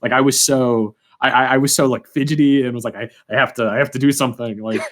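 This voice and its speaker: A man's voice: mid-range voice, fairly even intonation, quick pace. A young man hosting a podcast in casual conversation, informal and rambling, often restarting his sentences. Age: young adult. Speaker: podcast host. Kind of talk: casual chat.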